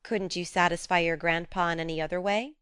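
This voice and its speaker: A woman's voice: fairly low pitch, fairly even intonation, a bit bright, clean tone, no echo, studio quality, natural voice. A woman voicing a spirited, frank young woman. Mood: coaxing. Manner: coaxing, brisk and direct.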